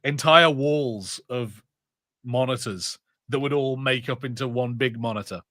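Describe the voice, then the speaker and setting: Bright, forceful man rambling in halting bursts. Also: medium pitch, dry recording, clean studio sound. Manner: halting, rambling, repeats words. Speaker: podcast co-host. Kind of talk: casual chat and personal anecdotes.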